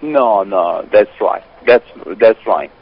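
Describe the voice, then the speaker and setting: Male hotel receptionist, Iranian accent, halting and repetitive on the phone, muffled lo-fi sound. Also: lowish voice, gravelly, breathy edge. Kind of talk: phone conversation in halting English. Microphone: telephone line. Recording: dull lo-fi phone call recording.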